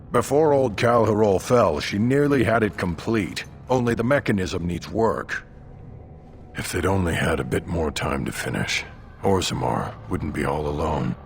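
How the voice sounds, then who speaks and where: Deep, gravelly gruff dwarf. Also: male voice, a little hiss or hum, exaggerated voice. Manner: blunt, gruff, earthy. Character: gruff, hearty male dwarf warrior, proud of his people. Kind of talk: fantasy video game acting.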